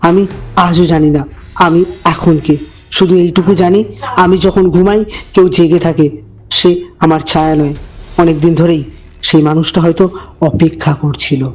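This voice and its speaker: A man narrating a scripted horror story as host, with deliberate dramatic phrasing and suspenseful pauses. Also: on the high side, steady tone, a little mellow, soft background music.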